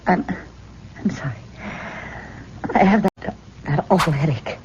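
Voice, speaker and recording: A woman's voice: low-pitched, expressive, unhurried, darkish tone. An actress playing an uneasy, placating young woman in an old-time radio drama, emotive and hesitant on a muffled, noisy old recording. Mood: distressed.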